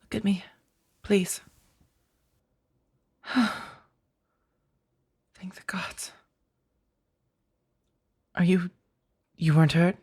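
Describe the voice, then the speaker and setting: A woman voicing an ancient, protective vampire in an ASMR roleplay, with a breathy husky voice and a measured, formal, hushed delivery. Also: on the low side, fairly even intonation, exaggerated voice. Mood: tender, anxious. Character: ancient female vampire, protective, stern, tender to her beloved. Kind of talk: ASMR roleplay audio drama.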